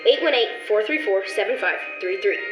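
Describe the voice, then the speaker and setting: A woman's voice: mid-range voice, expressive, normal speed. A woman reading a scripted sponsor message in the style of an old radio commercial. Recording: roomy recording over music.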